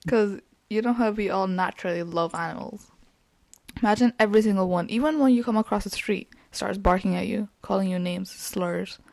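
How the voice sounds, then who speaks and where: A woman's voice: medium pitch, a little fast, gravelly, no room sound. Giggly young woman co-hosting a podcast. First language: Urdu. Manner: chatty, giggly, animated. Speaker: podcast co-host. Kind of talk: casual chat.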